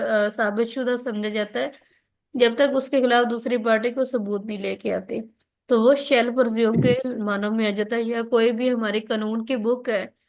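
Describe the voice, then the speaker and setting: A Pakistani woman with a fairly high voice teaches law in an online class. She explains in an instructional way, mixing in English legal terms, and often checks that her students are following. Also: darkish tone. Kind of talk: online lecture, explaining and reading out legal articles.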